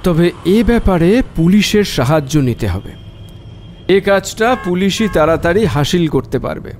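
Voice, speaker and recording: A man's voice: high voice, expressive, unhurried, darkish tone, a little hiss or hum. A man acting a shrewd, courteous private detective in an audio drama, probing and decisive in his talk. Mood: measured reasoning.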